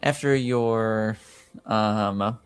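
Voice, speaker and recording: A man's voice: fairly low pitch, extremely slow, smooth, faint music behind. A man guiding a tabletop roleplaying game as game master, casual and amused.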